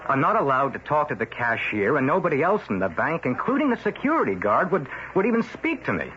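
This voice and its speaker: A man's voice: a little high, wildly swooping pitch, quick pace, pushed and bright, slight background noise, exaggerated voice. An actor playing a brash, stubborn, suspicious male newspaper reporter in an old-time radio drama, wisecracking and insistent. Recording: dull vintage radio recording.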